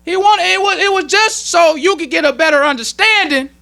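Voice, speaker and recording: An American man delivers a sermon in a very high-pitched, piercing voice. He teaches emphatically in plain colloquial speech, driving points home by repetition. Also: a little fast, creaky, sharp tone. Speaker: minister teaching at a temple meeting. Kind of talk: religious sermon, explaining teachings.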